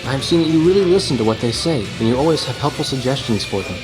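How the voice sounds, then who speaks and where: A man's voice: medium pitch, animated, fast, close to an everyday voice, rough recording. Earnest young war journalist played by a man, music behind. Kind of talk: scripted reflective narration and game dialogue.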